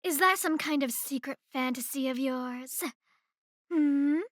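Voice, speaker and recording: Slow, high-voiced haughty female boss played by an actress. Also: a bit bright, no echo, clean studio sound. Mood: mocking. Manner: condescending, deliberate, mocking. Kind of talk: ASMR roleplay acting. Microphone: close microphone in a dry room.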